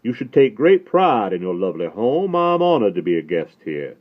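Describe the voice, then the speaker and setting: A man acts out a learned Southern rabbi in a storybook reading, courtly, warm and confiding. He speaks with grand, deliberate formality in a low male voice, over a narrow phone-like recording. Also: sing-song. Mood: gracious praise. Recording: thin, phone-like narrow band.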